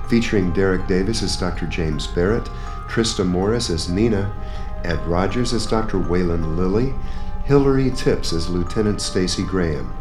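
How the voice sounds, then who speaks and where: Deep male radio announcer over music. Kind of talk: scripted radio credits announcement. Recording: echoey, music behind. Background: guitar theme music.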